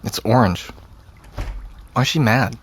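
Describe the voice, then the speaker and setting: Man playing sullen teenage boy, medium pitch, terse and dry, stubborn, audio drama, slight background noise. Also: slow, natural voice. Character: sullen, stubborn teenage sheriff's son, anxious and loyal. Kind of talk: naturalistic audio drama acting.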